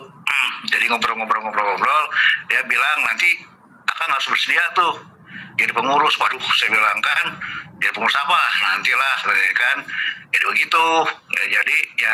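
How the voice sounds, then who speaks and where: A man's voice: medium pitch, moderate pace, long pauses, sharp tone, slight background noise, low-quality recording. A middle-aged man from Indonesia, a guest in a casual alumni chat, who rambles and corrects himself as he talks. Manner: rambling, self-correcting, chuckling.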